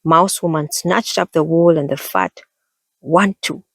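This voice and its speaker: A woman reading a children's story aloud as an expressive storyteller. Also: sits low, normal speed, no echo, studio quality.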